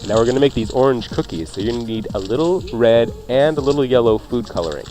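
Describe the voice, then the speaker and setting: A man's voice: mid-range voice, expressive, fast. A man hosting a radio cooking show, talking through recipes in a casual, conversational way with jokey asides. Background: faint music.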